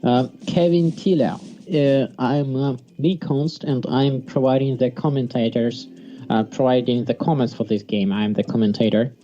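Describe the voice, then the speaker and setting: A mellow, relaxed male voice, commentating casually on a strategy game. He speaks haltingly, at an ordinary middle pitch. The recording is a bit dull and lo-fi, with music playing behind him. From Sweden.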